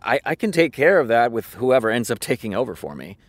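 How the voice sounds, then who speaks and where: An actress playing an anxious, obsessive TV showrunner in naturalistic audio drama, with a muttering, stammering delivery. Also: female voice, rumbling low, sing-song, a little fast, dry recording, exaggerated voice. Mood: flustered. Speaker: actor.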